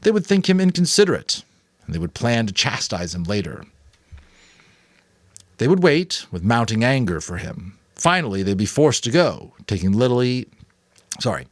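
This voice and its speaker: A man's voice: mid-range voice, normal speed, pauses often, dry recording, clean studio sound. A man narrating a book expressively, breaking off for casual commentary. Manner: casual asides between expressive reading. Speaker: amateur audiobook narrator.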